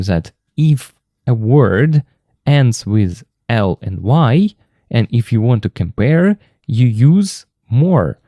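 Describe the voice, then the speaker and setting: A man with a Russian accent teaching English grammar from a textbook, explaining slowly and patiently and repeating the key terms. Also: medium pitch, mellow, dry recording.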